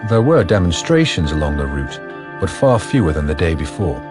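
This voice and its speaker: Deep-voiced male drama narrator. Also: sing-song, over music. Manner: measured, factual.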